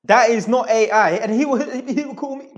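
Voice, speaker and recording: A man reacting to a clip on a stream, animated and repeating lines mockingly. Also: very high-pitched, normal speed. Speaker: commentator on a live stream. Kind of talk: casual reaction commentary.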